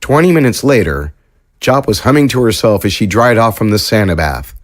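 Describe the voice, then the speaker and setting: A man narrating a science fiction story in a measured, even storytelling manner. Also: lowish voice. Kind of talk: scripted audiobook narration.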